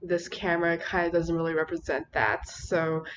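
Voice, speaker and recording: A young woman with a low, slightly nasal voice talks casually into her phone camera as a college student vlogger. She hesitates, apologizes, and restarts her sentences often. A faint noise sits under the recording. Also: completely flat delivery, moderate pace, muffled recording. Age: young adult. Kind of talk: casual rambling chat to camera.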